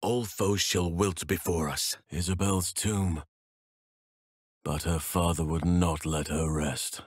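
A man voicing an ancient, earnest elf druid in a video game, speaking in a calm, formal and solemn way. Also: low voice, breathy edge, no room sound, studio quality, heavily acted. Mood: grave, measured. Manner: measured, formal, solemn phrasing. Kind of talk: video game character dialogue.

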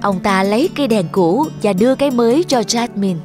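A woman's voice: fairly high pitch, a little fast. A woman reading scripted children's story narration as the narrator. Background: music under the voice.

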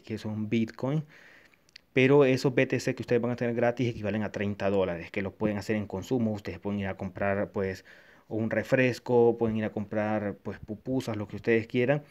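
A man's voice: mid-range voice, darkish tone. A man from Honduras giving a casual, hesitant review of a wallet app over a screen recording, with many fillers and restarts. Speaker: tech reviewer.

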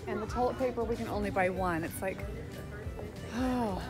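A woman's voice: mid-range voice, normal speed, over music. A woman in her thirties chatting casually to a handheld phone camera in her car, in a shop and at home, rambling and upbeat. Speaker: family vlogger. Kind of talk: casual vlog chat.

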